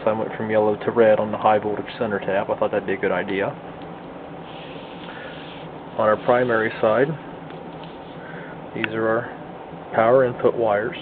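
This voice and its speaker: Folksy guy walking thru a workshop repair, plain talk, thin phone-like sound w/ clear background hum. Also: on the low side. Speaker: hobbyist radio restorer giving a demonstration. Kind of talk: casual hands-on tutorial. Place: indoor home workshop bench. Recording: muffled phone-like audio, loud room hum.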